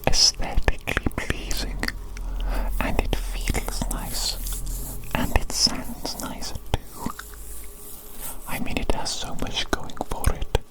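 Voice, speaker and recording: Slow whispering woman in ASMR roleplay. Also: poor audio. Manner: soothing, coaxing, repeats phrases slowly. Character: evil doctor fussing tenderly over a big creature.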